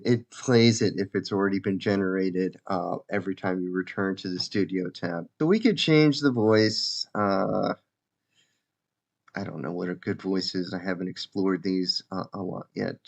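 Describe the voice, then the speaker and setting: A man giving a casual, informal software demo as a developer presenting his own application. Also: sits low, unhurried, creaky. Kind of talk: casual screen-share demo narration. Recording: dull lo-fi mic.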